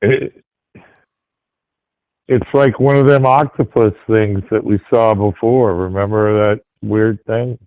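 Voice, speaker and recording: A man calling into a show, laid-back and unhurried as he talks about a sighting. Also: fairly low pitch, fairly even intonation, no echo. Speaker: caller. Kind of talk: casual phone call. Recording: dull dry phone audio.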